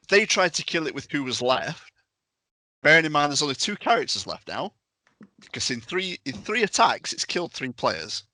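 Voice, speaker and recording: A man's voice: medium pitch, pushed and bright, no echo. A man on a podcast telling game stories casually, in emphatic, punchy short phrases. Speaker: podcast panellist and tabletop game master. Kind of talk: casual storytelling.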